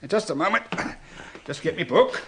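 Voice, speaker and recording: A man's voice: sits high, animated, normal speed, bright, forceful tone, slight background noise. A man acting in a radio drama as a chatty, grumbling storekeeper with a London Cockney accent, deferential and colloquial. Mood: officious, wheedling. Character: male storekeeper, chatty, grumbling, petty-bribe-taking working man.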